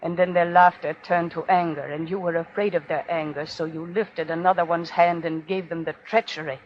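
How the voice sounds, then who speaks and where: A woman's voice: on the low side, fairly even intonation, a little fast, highs cut off, natural voice. Woman acting defiant condemned prophetess, noisy old radio. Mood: defiant, prophetic. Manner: solemn, accusing, incantatory, rhetorical repetition. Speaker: actor. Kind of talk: 1940s radio drama oratory.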